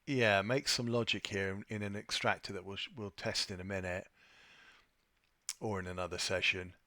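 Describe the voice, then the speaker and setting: A man presenting an unscripted software tutorial, thinking aloud with a hesitant delivery as he walks through his screen. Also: low-pitched, fairly even intonation, moderate pace, no room sound, clean studio sound. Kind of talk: unscripted screen-share walkthrough.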